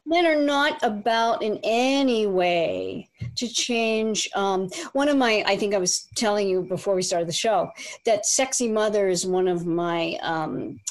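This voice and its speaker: A woman relationship coach and author, explaining her views as a guest in a measured way with fillers. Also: on the low side. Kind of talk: interview guest.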